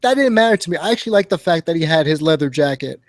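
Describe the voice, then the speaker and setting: A man with a fairly high voice hosts a relaxed chat over a video call, easygoing and steering the talk with questions. His voice sounds close and dry. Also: racing, no echo. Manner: easygoing, asks leading questions. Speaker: host. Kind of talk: casual podcast chat.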